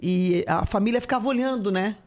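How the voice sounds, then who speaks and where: This is a woman in her fifties, a guest on a relaxed podcast. She tells stories in a chatty, animated way and jokes as she goes. Her voice sits at a middle pitch for a woman. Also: fairly quick. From São Paulo, Brazil. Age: 50s. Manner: chatty, animated storyteller, joking. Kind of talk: casual podcast interview.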